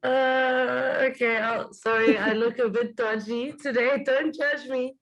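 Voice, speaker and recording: A woman's voice: fairly high pitch, steady tone, measured pace, a bit bright, poor audio. Breezy, informal woman guest. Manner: breezy, informal, self-deprecating. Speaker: guest, scholarship program staff. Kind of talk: casual chat, self-introduction. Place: online video call.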